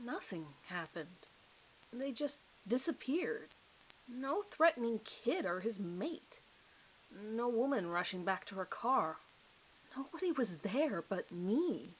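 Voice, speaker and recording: Horror audio drama: rattled male suspect played by a woman, halting, stammering, bewildered, pleading innocence. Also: a little high, sing-song, a little hiss or hum, low-quality recording. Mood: bewildered, uneasy.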